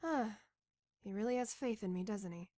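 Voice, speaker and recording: A woman's voice: medium pitch, normal speed, warm tone, dry recording, clean studio sound, lightly acted. An actress playing a tough, defiant young female soldier in a radio drama, plucky and wry. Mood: determined.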